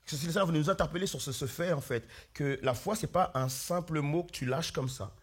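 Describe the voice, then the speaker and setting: A man preaching a sermon as pastor, hoarse with flu, earnestly calling out questions to his congregation. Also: on the high side, a little fast. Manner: earnest, calls out questions to the congregation, many fillers and restarts. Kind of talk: sermon with opening prayer. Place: church hall.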